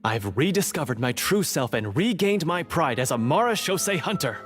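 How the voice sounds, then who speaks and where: A man playing an earnest young repentant hunter in a video game, halting and a little theatrical. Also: on the high side, sing-song. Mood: theatrically contrite. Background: game music.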